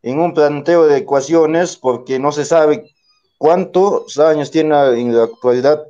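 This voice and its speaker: A man's voice: fairly high pitch, unhurried, dark tone, highs cut off. A man speaking as a student in an online class, hesitant and searching for words as he answers.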